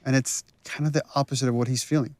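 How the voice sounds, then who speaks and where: A man's voice: medium pitch, steady tone, fast talker, a little mellow. Reflective man explaining story choices. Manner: reflective, explanatory, many "it's like" fillers. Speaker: game director giving commentary. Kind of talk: casual commentary over cutscenes.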